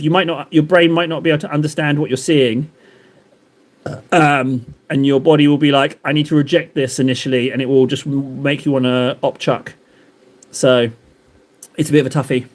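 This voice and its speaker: A man's voice: mid-range voice, steady tone, moderate pace. A man live-streaming while he paints, rambling and joking as he banters with his chat.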